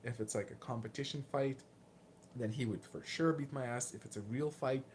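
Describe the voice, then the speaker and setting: A man in his late twenties with a Lithuanian accent, casually answering viewer questions in a candid, self-deprecating, halting way. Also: medium pitch, darkish tone, a little hiss or hum. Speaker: martial arts vlogger and fighter.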